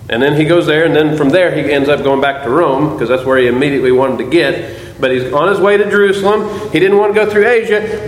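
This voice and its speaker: A man preaching a sermon to a congregation, explaining scripture and putting questions to his listeners. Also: high-pitched. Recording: echoey hall.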